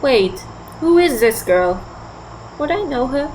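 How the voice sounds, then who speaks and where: Inquisitive teenage girl, lightly high voice woman acting. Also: big pitch swings, slow, a little mellow, slight background noise, full character voice, rough recording. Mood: curious, skeptical. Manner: inquisitive, reasoning. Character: thoughtful, skeptical, polite teenage girl. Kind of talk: audio drama acting.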